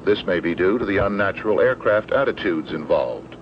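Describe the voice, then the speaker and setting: Male instructional narrator, American, low voice, matter-of-fact and measured, 1970s film sound with clear background noise. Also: lively intonation. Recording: dull, noisy old 16mm film soundtrack.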